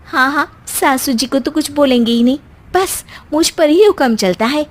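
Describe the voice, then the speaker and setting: A woman's voice: high voice, animated, moderate pace, voice pushed up. A woman voicing a feisty, grumbling young Indian daughter-in-law in a cartoon, exclaiming and complaining with indignant drama.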